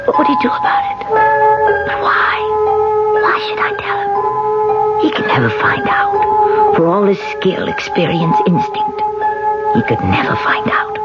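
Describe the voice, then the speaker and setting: An intense, secretive woman, swinging dramatically in pitch. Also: heavily acted. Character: tense secretive woman hiding a killing from her past. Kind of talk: radio drama acting with confiding narration. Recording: dull lo-fi vintage radio, music behind.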